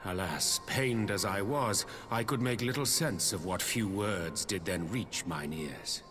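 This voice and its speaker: An actor playing a grave, courtly scholar in a video game, speaking in measured, formal, archaic English. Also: male voice, low voice, fairly bright tone. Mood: grave, pensive. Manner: formal archaic diction, pensive. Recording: long cavernous reverb, music underneath.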